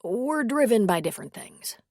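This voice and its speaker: A woman reading the part of an earnest, gentle middle-aged man who has just left the priesthood, speaking warmly and persuasively. The delivery is close and dry, with no room sound at all. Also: fairly high pitch, big pitch swings, normal speed, vocal fry, dry recording, voice pushed up, full character voice. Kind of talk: audiobook character reading.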